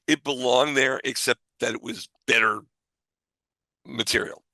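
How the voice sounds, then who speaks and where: A man's voice: medium pitch, fairly even intonation, unhurried, creaky, bright, forceful tone, no echo. A man co-hosting a casual podcast, giving his opinions emphatically and repeating phrases to make his point. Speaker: podcast co-host.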